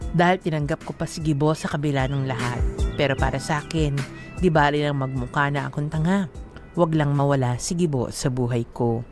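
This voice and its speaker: A woman's voice: deep voice, fairly quick, music in the background. A woman giving a sorrowful, confessional first-person narration for a radio love story. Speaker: letter narrator. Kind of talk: scripted dramatic narration.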